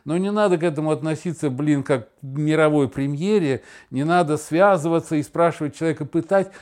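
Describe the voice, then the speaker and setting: A middle-aged man talking casually and reflectively, telling anecdotes emphatically, with halting, repeated word starts. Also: fairly high pitch. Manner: animated, emphatic, halting with stammered repetitions. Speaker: podcast host.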